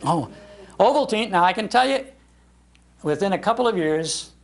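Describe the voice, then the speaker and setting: An older man hosting a live talk, telling nostalgic stories in an animated, emphatic way. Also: sits high, normal speed, gravelly. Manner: animated storyteller, emphatic repetition. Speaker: radio show host giving a talk. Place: public library talk before an audience.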